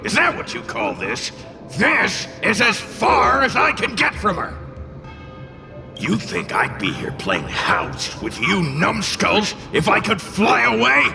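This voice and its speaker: A cranky fire demon in a video game, with a highish, gravelly voice that swoops wildly. He is arrogant and blustering, scolding everyone over clearly audible music. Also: huge pitch swings, takes it slowly, full character voice. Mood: defiant, shouting. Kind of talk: video game cutscene acting.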